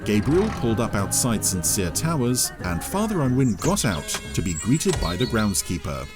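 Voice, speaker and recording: A man's voice: lowish voice, sing-song, moderate pace, music in the background. A man narrating a scripted audio adventure story.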